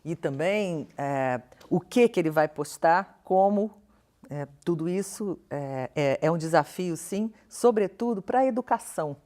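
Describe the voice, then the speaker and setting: A woman with a Brazilian accent and a notably low voice speaks as an academic being interviewed. She thinks aloud in an articulate, questioning manner and stresses her key words as she explains. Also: lively intonation, a little slow, warm tone. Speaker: interview guest, professor and researcher. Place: television studio interview.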